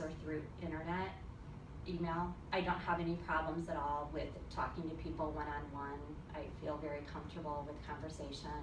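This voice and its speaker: A woman college student giving a class speech about her public speaking, earnest and prepared with a few fillers. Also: low-pitched. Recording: echoey room from far off with noise.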